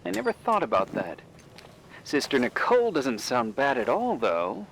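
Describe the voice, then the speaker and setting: Animated, awkwardly playful young man. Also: medium pitch, moderate pace, vocal fry, slight background noise, full character voice, poor audio. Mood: teasing. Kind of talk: naturalistic game dialogue.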